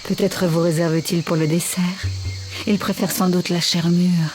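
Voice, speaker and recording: A woman's voice: lowish voice, flat delivery, moderate pace, natural voice. Actress as witty female adventurer, dry and confident, music under. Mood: brisk. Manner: dry, teasing, self-assured. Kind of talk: French dub of game cutscene.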